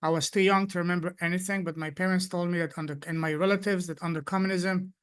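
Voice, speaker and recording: A man's voice: high voice, fairly even intonation, quick pace, a bit bright, clean tone. A man from Afghanistan hosting an interview podcast, asking questions and giving short, attentive acknowledgements. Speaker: podcast host.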